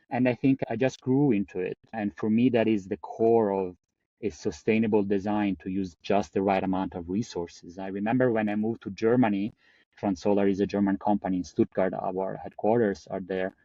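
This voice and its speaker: Italian-accented man, middle-aged, fairly low, animated podcast guest; dry, slightly dull audio. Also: dry recording. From Italy. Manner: lively, laughs often, self-deprecating. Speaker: guest, climate engineer. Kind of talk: podcast interview.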